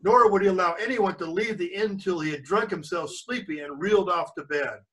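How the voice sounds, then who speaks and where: An older man reading a storybook aloud as a grandfather would, pausing for chatty asides and questions to young listeners. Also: high voice, fairly bright tone, distant mic. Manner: pauses to quiz the listeners.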